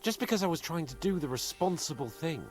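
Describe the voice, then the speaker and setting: An actor playing a boastful, weary young nobleman in a game, with an animated, theatrical delivery. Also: a little high, fast, close to an everyday voice. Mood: bitter. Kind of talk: game voice acting. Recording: game audio under faint music.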